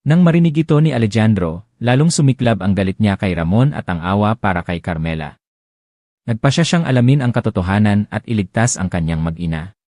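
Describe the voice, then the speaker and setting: A man's voice: on the low side, animated, brisk, mellow, no room sound, studio quality. A man narrating a romance audiobook, reading dramatically and expressively. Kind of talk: scripted narration.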